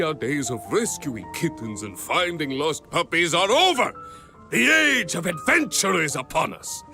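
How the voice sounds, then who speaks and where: An actor voicing a boisterous, dramatic adventurers guild recruiter in a fantasy game, proclaiming everything theatrically. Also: male voice, high voice, bright, forceful tone, exaggerated voice. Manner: bombastic, proclaiming.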